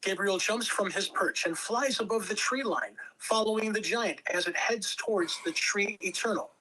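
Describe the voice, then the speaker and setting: Bright-voiced man narrating a script. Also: high-pitched, normal speed, a little hiss or hum, rough recording. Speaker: narrator at a script table reading. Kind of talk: scripted narration of stage directions.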